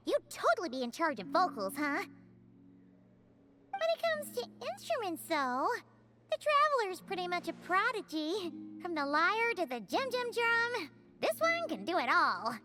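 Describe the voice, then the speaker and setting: Here is a tiny anime game sidekick, with an extremely high, childlike squeak. She is cheeky and excitable, swinging widely in pitch and exclaiming often, with music playing clearly behind her. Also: measured pace, heavily acted. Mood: bubbly, impressed. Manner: exclamatory, refers to herself in third person. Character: tiny chirpy floating fairy-like companion, childlike, cheeky and excitable. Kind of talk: anime game character dialogue.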